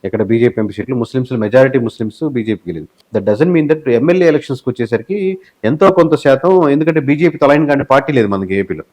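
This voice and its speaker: Male Telugu interviewee, analyst type. Rapid-fire, explanatory delivery, medium pitch, English phrases mixed into his answers. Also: expressive, darkish tone. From Telugu-speaking southern India. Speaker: guest, election survey company head.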